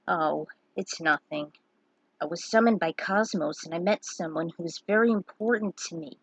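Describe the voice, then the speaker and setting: Young aspiring sky pirate, male, gentle and wistful, storybook reading, played by a woman. Dry sound. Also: fairly low pitch, moderate pace, breathy edge, dry recording. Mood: wistful, subdued.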